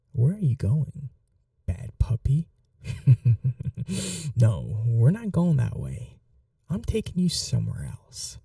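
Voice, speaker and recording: A performer voicing a possessive vampire noble in an ASMR roleplay, speaking softly and slowly with a teasing, condescending cruelty. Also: medium pitch, dry recording, studio quality, far from the mic, heavily acted. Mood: teasing, commanding. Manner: slow, soft, breathy, condescending teasing.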